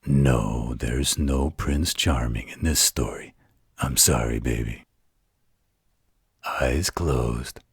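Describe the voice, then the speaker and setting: A gentle werewolf, played by a man, tells a bedtime story with tender patience. His voice is extremely deep and breathy, recorded close and dry. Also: slow pace, pauses often, no room sound, full character voice. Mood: patient, tender. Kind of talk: intimate bedtime roleplay storytelling.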